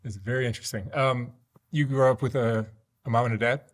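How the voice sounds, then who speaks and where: A man's voice: medium pitch, steady tone, brisk. A man interviewing a guest and asking direct, curious questions.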